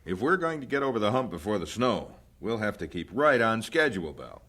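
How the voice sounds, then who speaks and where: Lively, practical missionary husband. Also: male voice, on the low side, brisk, exaggerated voice. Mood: reassuring. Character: steady, practical missionary husband, gently teasing. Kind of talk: radio drama acting.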